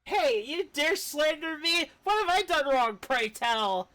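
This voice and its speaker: A woman's voice: high-pitched, expressive, measured pace, a bit bright, pitched above the performer's own voice. A woman voicing a pompous, romantic little robot scholar from a game, with grandiose, flowery, old-fashioned speech. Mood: indignant. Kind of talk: game dialogue read aloud in character.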